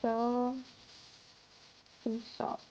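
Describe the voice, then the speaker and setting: A woman's voice: on the high side, monotone, drawn-out words, warm tone, lo-fi sound, slight background noise. A woman on a live stream learning a game, hesitant and a little giggly in casual chat.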